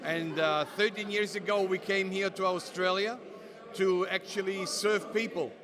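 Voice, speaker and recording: A man's voice: sits high, normal speed, crowd noise. A middle-aged man from Italy with an Italian accent, a pastor and author speaking earnestly as an interview guest, often answering with short agreements. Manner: steady and affirming, frequent short agreements.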